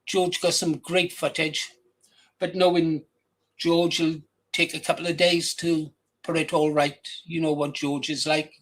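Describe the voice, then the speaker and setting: A man's voice: fairly high pitch, flat delivery, unhurried, a bit bright, rough recording. An older man with a Welsh accent, hosting his own channel in a blunt, rambling casual monologue. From England, raised in Wales from age ten. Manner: blunt, crude, rambling with restarts. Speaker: channel host.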